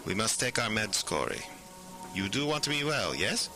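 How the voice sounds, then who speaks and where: An actor plays a patronising male therapist in a radio drama. The voice is low with a lilting, widely moving intonation, coaxing and condescending, with music behind it. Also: sing-song, normal speed. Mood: gently scolding. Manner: coaxing, condescending, tag questions. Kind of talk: audio drama acting.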